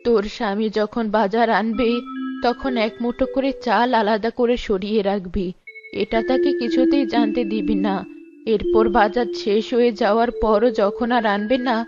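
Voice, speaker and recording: A kindly old cartoon granny, acted by a woman, with a slightly high voice and a motherly, advising manner. Music plays clearly behind her in a dull recording. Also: fairly even intonation, normal speed, close to an everyday voice. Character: kindly old village woman, sympathetic advice-giver. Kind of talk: cartoon dialogue acting.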